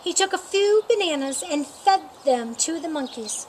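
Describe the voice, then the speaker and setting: High-voiced woman reading a children's story. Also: animated, moderate pace, slight background noise. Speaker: storyteller reading a picture book. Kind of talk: read-aloud children's storytime narration.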